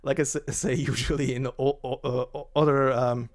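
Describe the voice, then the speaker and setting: A man from Croatia, a native Croatian speaker, chatting casually as the host before narrating a game story. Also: moderate pace. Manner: chatty and rambling, with lively pitch jumps. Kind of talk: casual spoken intro to a game story reading. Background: faint looping game menu music.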